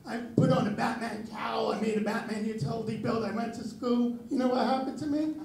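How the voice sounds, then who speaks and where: A young man doing a self-mocking stand-up set with a very raspy, high voice, on a club stage. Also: fairly quick, a little hiss or hum. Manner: self-mocking deadpan delivery. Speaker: stand-up comedian. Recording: echoey club with crowd. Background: audience laughter.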